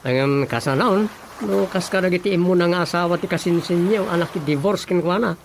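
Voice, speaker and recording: A man's voice: high-pitched, a little fast, a little hiss or hum. A man playing a practical, sceptical younger relative in an Ilocano radio comedy, talking matter-of-factly with an Ilocano accent. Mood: musing.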